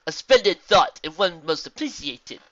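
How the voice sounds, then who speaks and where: A woman voicing a wise, calm zebra sorceress who speaks in deliberate, formal rhymed phrases. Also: lowish voice, no room sound, dull audio, voice pushed up. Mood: gracious.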